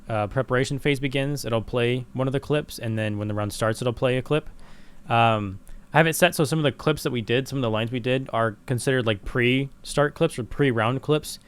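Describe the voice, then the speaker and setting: A young man, a game developer, casually and excitedly walking a collaborator through his game, talking loosely with lots of fillers. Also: mid-range voice, clean tone. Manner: excited, casual slangy chat with many fillers. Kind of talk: casual screen-recorded walkthrough.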